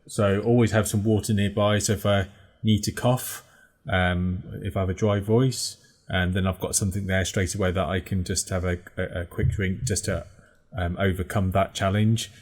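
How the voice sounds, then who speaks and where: A man in his forties with a fairly low voice talks over a headset on a video call from home. He explains practical tips in a relaxed, step-by-step way, with the odd "um" along the way. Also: normal speed. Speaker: podcast co-host. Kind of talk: casual video podcast chat. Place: home room, indoors.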